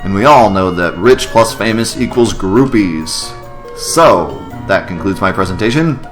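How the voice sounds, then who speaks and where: A man voicing an overzealous, corny space agency pitchman in a story video game, with an emphatic salesman's delivery. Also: animated. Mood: hyped, salesy. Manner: emphatic, booming salesman delivery with drawn-out hooks. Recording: music behind the voice.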